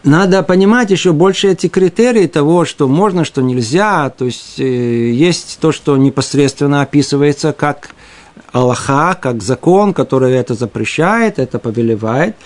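A man's voice: on the high side, lively intonation, normal speed. A man giving an explanatory religious lecture as a teacher, didactic, often posing rhetorical questions and answering them himself.

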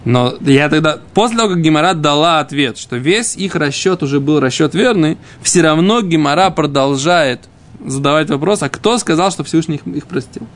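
A man teaching an informal Talmud lesson, reading the text and explaining it, haltingly and with repeated phrases. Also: a little high, expressive.

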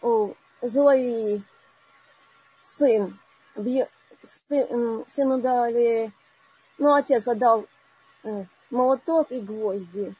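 A woman in her forties calling in to a live online session, telling a personal story haltingly with many broken-off words. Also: a little high, warm tone, breathy edge, lo-fi sound. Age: 40s. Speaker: caller.

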